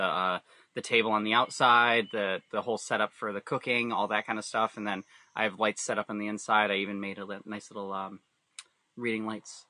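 Very bright-voiced man chatting as podcast guest. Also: on the low side, no room sound. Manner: rambling, halting, self-correcting. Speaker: podcast guest, hobby camper builder. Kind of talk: casual chat, personal storytelling.

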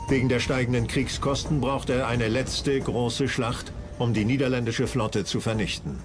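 A man reading scripted documentary narration. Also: medium pitch.

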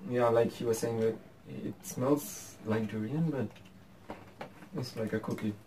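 A man's voice: mid-range voice, fairly even intonation, measured pace, a little mellow, far from the mic, a little hiss or hum, poor audio. A young man from Pakistan chatting casually as a guest taster, often laughing and restarting his words. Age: young adult. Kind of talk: casual chat. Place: small indoor room by a window. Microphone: fixed camera at the table.

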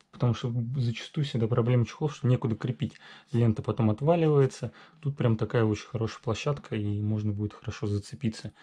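A man casually walking through a new RC truck in a workshop, talking haltingly with many fillers and self-corrections. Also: medium pitch, dark tone. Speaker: RC hobby reviewer. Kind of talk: casual unboxing walkthrough. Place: indoor garage workshop.

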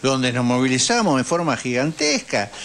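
A man's voice: mid-range voice, very animated, normal speed, fairly bright tone, slight background noise. An Argentine man hosting a political radio interview in an informal, conversational way. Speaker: radio host.

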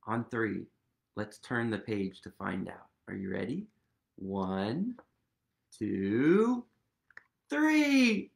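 A man in his thirties reading a picture book aloud to young children, expressive and full of questions for his listeners. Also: lowish voice, very slow, creaky, pauses often. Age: 30s. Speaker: host, museum literacy educator reading aloud. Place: indoors, small quiet room at home.

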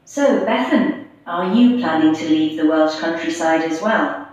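A woman's voice: low-pitched, measured pace, a little mellow. A woman playing a composed radio programme presenter in a scripted interview recording. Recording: muffled echoey playback through classroom speakers.

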